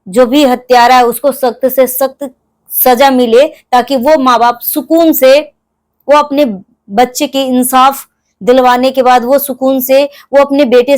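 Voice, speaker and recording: A woman giving emotional, earnest commentary to viewers, repeating phrases for emphasis. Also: high-pitched. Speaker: commentator on a crime case.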